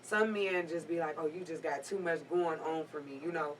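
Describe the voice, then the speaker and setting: A woman in her twenties speaking candidly in a casual panel chat, laid-back with drawn-out phrasing. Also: sits low, recorded from a distance, a crowd in the background, poor audio. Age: 20s. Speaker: guest panelist.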